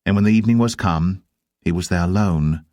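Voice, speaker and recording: Low-voiced man narrating scripture, very dry sound. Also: brisk, dark tone, dry recording.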